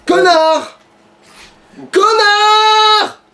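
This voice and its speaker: A man's voice: very high voice, fairly even intonation, very slow, sharp tone. A man doing casual, rambling gameplay commentary, swearing and sounding exasperated. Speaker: let's play gamer. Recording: distant mic with light background noise.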